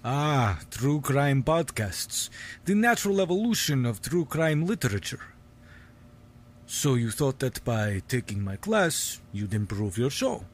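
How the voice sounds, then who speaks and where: A man playing a guarded, learned university professor in an audio drama, speaking formally and with measured courtesy. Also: mid-range voice, sing-song, a little hiss or hum. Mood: curious, guarded. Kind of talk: scripted audio drama acting.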